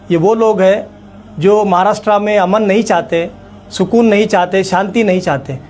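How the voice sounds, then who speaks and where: A man's voice: high-pitched, a little mellow. A man giving a formal political address as an organisation leader, speaking emphatically and repeating phrases for effect. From India.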